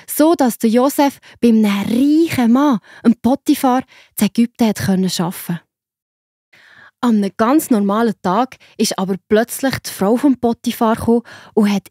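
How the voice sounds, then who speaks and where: A woman narrates for children with a Swiss German accent. Her voice is fairly high and expressive, explaining things simply, recorded dry and close. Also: normal speed, husky, dry recording. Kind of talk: storytelling for children.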